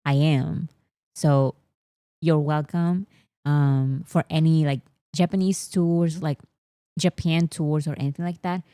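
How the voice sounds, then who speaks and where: Young woman, spanish native, casual stream chat, low voice, jokey and mock-bragging, dry sound. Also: fairly even intonation, slow, dark tone, clear, resonant tone, no room sound. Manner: playful, teasing, mock-boastful, laughs often. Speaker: host. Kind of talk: casual livestream chat reading comments.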